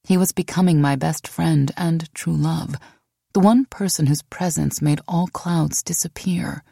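A woman narrates a memoir in a low register with a gravelly edge. The sound is close and dry, and she tells the story with measured expression, as an audiobook reader does. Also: mellow, no room sound.

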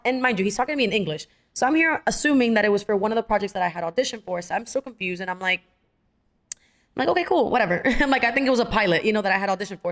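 A young adult woman, an actress, telling stories in a casual interview and acting out the lines of people she quotes. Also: fairly high pitch. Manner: animated, acts out quoted dialogue, many fillers. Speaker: actress guest.

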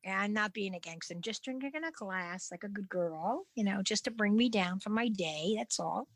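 A woman's voice: medium pitch, normal speed, dry recording. A woman co-hosting a casual podcast, easygoing and agreeable, emphatic when giving advice. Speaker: podcast co-host.

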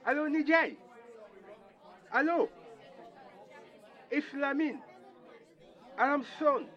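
A man acts an earnest young migrant son in an audio drama. His voice is high and slow, pleading down a thin, dull phone line. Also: fairly bright tone, some chatter behind, exaggerated voice. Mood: anxious, hopeful. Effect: phone line filter.

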